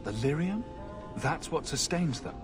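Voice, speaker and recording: A man's voice: fairly high pitch, lively intonation, a little slow, music in the background. An actor playing a composed, modest male adventurer in a video game. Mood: wary.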